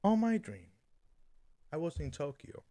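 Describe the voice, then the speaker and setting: A young man co-hosting a podcast, telling a dream story in an animated way, laughing and acting out what people said. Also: on the high side, a little slow, gravelly. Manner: animated, laughing, acts out quotes. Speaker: podcast co-host. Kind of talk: casual chat, storytelling.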